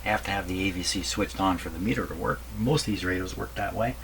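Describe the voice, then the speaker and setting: Man, low creaky voice, casual repair commentary, muttering to himself, noisy humming recording. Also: fast talker. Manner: muttering to himself while working. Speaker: radio repair hobbyist presenting. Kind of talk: casual workbench commentary while testing a radio. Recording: noisy workbench recording with electrical hum.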